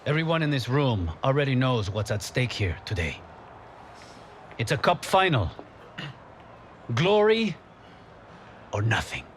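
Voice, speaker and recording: A man playing a passionate, commanding football coach giving an emphatic, measured team talk in a game cutscene. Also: medium pitch, sing-song, a little hiss or hum. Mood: grave, intense.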